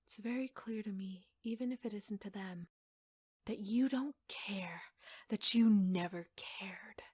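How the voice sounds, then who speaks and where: A woman playing an obsessive, jealous secretary in an ASMR audio roleplay, soft-spoken and often slipping into breathy whispers. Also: medium pitch, unhurried, dry recording. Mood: cold menace. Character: obsessive yandere secretary, devoted, jealous, coldly menacing woman.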